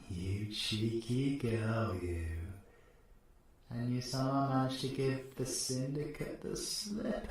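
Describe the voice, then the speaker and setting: A man playing a British male supervillain in an audio roleplay, cocky and menacing. He talks slowly in a lowish voice, teasing and taunting with slangy curses and flirty endearments. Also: darkish tone, a little hiss or hum, full character voice. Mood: sly, teasing. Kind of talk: audio roleplay, villain ASMR acting.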